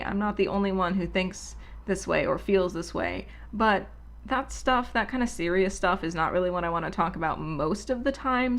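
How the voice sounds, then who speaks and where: Woman host, soothing and low, a bit of vocal fry, chatty unscripted monologue. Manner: relaxed, rambling, candid. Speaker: channel host. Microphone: solo at her own microphone.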